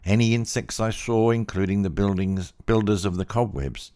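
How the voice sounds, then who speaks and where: A man narrating a first-person story in a measured, plain-spoken way. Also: lowish voice, steady tone, darkish tone. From Australia.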